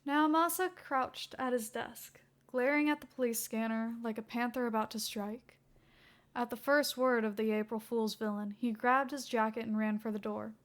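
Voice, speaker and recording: A woman's voice: a little high, steady tone, normal speed. A woman reading a scripted story aloud as a fan fiction narrator.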